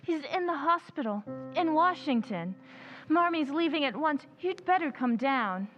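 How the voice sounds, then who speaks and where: An actress playing a dutiful, anxious young elder sister, urgent and earnest, in an old-time radio drama. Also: female voice, high-pitched, expressive, moderate pace, big-room sound, dull audio, over music. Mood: distressed.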